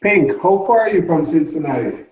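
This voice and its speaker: A man's voice: fairly high pitch, lively intonation, normal speed, darkish tone, slight background noise. A man from Jamaica with a Jamaican accent, chatting casually in a group and often restarting his sentences. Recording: dull lo-fi audio.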